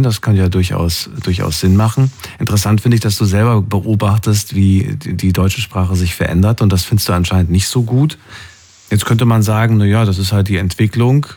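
A man hosting a call-in conversation, husky, probing and paraphrasing in a casual way. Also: lowish voice, a little mellow. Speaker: call-in show host.

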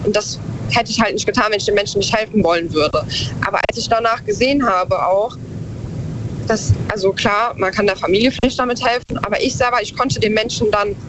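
A woman's voice: mid-range voice, fairly quick. A woman calling a radio show, talking in an earnest, reflective way. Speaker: caller. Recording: dull line with clear background noise.

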